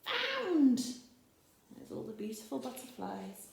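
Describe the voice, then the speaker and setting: Teacher reading to young children: unhurried, animated, a little high, in an echoey room. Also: female voice, gravelly, a little hiss or hum. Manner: expressive, slow, pointing out pictures and asking the listener questions. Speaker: teacher reading a picture book. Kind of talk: reading a picture book aloud to children.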